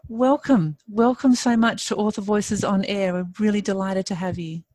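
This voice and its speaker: A woman's voice: medium pitch, steady tone, normal speed, warm tone, no room sound. A woman hosting an interview in an effusive, enthusiastic way. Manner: effusive, enthusiastic, laughs easily. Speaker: podcast host. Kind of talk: upbeat interview.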